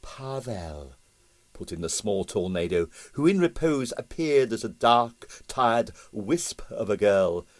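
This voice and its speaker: A man narrating a comic novel as an audiobook, with dry, expressive storytelling. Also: on the low side, measured pace, few pauses.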